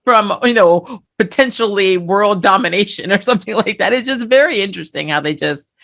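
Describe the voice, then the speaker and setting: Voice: mid-range voice, lively intonation, brisk, creaky, fairly bright tone. A co-host speaks in a casual review chat, sounding conversational and keen. The delivery is enthusiastic and informal, as in a discussion between friends. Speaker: pop-culture show co-host.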